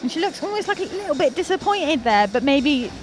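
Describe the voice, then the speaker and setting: A bright, higher-voiced young woman from North Wales calls a climbing competition live, chatty and eager, cheering the climbers on. She laughs easily at her own slips, and venue music plays clearly behind her. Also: sing-song. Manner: chatty, enthusiastic, quick to laugh at herself. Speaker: commentator.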